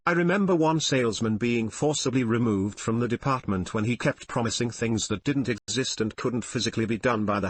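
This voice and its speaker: A man's voice: medium pitch, breathy edge, dry recording. A man narrating forum stories and comments from a script, in the role of a reader. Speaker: narrator reading online forum posts and comments.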